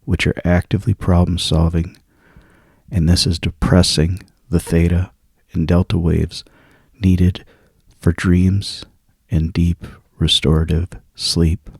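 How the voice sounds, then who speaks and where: A man leading a guided sleep meditation in a soft, hushed, soothing and husky voice, with long pauses between phrases. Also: low-pitched, level tone, no echo, studio quality.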